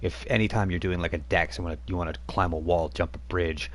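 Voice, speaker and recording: A man's voice: low-pitched, steady tone, quick pace. A man acting as game master, casually explaining tabletop rules step by step.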